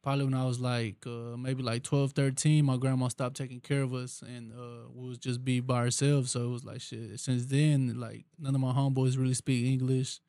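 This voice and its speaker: A man's voice: medium pitch, level tone, moderate pace, few pauses, mellow, no room sound. A young man from Texas, a rapper guest, chatting casually with a laid-back drawl, heavy slang and swearing; Spanish was his first language. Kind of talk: casual podcast chat.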